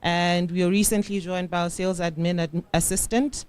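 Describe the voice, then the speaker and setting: Young entrepreneur speaking in an even, flat way. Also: mid-range voice, normal speed, clean tone. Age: young adult. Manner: hesitant, with many fillers. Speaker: entrepreneur presenting their business. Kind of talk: conference presentation.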